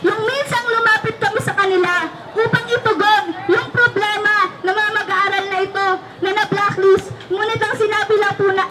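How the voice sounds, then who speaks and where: A young student with a Filipino accent delivering a protest speech. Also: very high-pitched. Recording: very noisy outdoor protest crowd.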